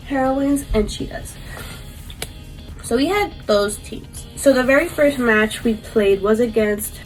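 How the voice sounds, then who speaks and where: A woman's voice: fairly high pitch, poor audio. A young woman, stuffy and congested from a cold, chatting casually through a rambling vlog recap full of fillers. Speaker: vlogger and paintball player.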